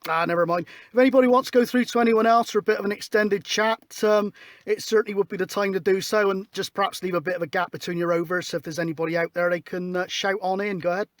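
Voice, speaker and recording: A man's voice: sits high, fairly even intonation, fast. A man chatting casually and putting out calls as a CB radio operator, in an informal, matey way. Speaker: CB radio operator leading an on-air event. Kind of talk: casual radio calling and chat. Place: outdoors on a hillside in Wiltshire, at a CB radio set.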